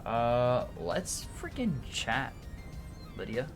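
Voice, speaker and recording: A playful streamer talks through a live game in a slangy, casual way, with mid-range pitch. Dialogue gets read aloud in a hammy style, while game music plays clearly behind the voice. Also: very animated, extremely slow. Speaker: streamer, host of a gaming show. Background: video game music.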